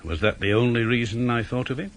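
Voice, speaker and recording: Actor playing a dry, blunt British police inspector. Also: male voice, mid-range voice, fast talker, a little hiss or hum, natural voice. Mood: musing. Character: seasoned, cynical male police inspector, brusque and shrewd. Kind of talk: 1980s British radio drama acting.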